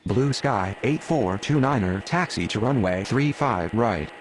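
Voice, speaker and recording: A man's voice: on the low side, animated, unhurried. A man speaking clipped, procedural air traffic radio phrases in a game.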